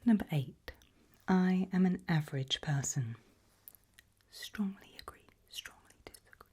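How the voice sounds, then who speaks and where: Slow, breathy whispering woman. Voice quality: breathy whisper with soft low murmurs. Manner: slow, deliberate, measured reading with pauses. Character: calm, politely sinister HR interviewer at an evil organisation. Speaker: ASMR roleplayer playing an HR interviewer. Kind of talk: ASMR roleplay, whispered and softly spoken.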